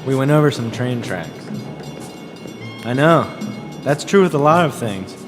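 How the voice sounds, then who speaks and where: A man reading a scripted first-person story in a deadpan, terse way, as a wry, observant driver out with his girlfriend. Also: mid-range voice, unhurried, natural voice. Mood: wry, detached. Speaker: author reading excerpts from his own novel. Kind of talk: scripted first-person narration with dialogue over music. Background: music.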